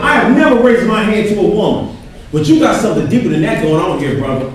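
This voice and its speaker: A man's voice: high voice, sing-song, fast, over loud music. A man acting in a stage play as an earnest churchgoing friend who counsels with preachy, urging emphasis. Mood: earnest, concerned. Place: theatre stage, captured from afar. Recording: distant, echoey theatre stage recording.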